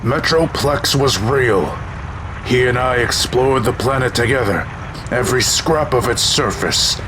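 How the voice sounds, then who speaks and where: A man playing a learned, self-assured robot scholar with a measured, pedantic delivery in an audio drama. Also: medium pitch, background noise, close to an everyday voice. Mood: calm, lecturing.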